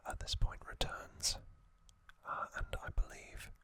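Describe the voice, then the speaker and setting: A man's voice: unhurried, bright, forceful tone, dry recording. A man whispering in ASMR style as he recounts trivia, hesitant and full of ums and uhs. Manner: hesitant, self-correcting delivery. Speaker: ASMR creator.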